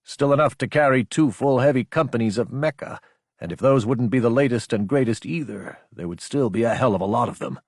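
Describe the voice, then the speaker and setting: A man narrates an action audiobook with a husky edge to his mid-range voice. His delivery is scripted, deliberate and dramatic. The sound is dry, with no room around it. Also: mid-range voice, no room sound.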